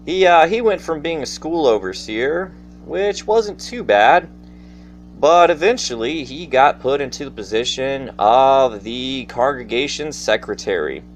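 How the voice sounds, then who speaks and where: A man's voice: mid-range voice, animated, unhurried. A man telling personal stories in a casual monologue, addressing his listeners directly in a theatrical, folksy manner. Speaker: storyteller. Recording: faint background noise.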